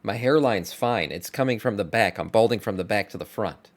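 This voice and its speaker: A man's voice: on the low side, fairly quick. A man in his thirties giving off-the-cuff, informal reaction commentary as a streamer, musing aloud. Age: 30s. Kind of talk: casual live reaction commentary.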